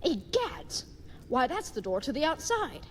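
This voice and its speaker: A woman's voice: high voice, very animated, measured pace, slight background noise. A woman playing a big, earnest, dim-witted young knight in an audio drama, speaking in florid, old-fashioned, chivalrous phrases. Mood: excited, triumphant.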